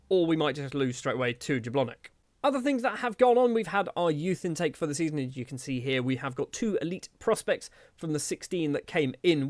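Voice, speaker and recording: A man's voice: a little high, no echo, clean studio sound. A man giving casual video game commentary as a host, chatty and rambling, often questioning himself as he goes.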